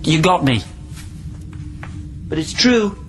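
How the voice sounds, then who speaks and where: A man's voice: fairly high pitch, very animated, slow pace, over music. A man acting in a radio drama as a volatile captive from centuries past, probing and rambling, swinging between tender and menacing. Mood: coaxing, awkward.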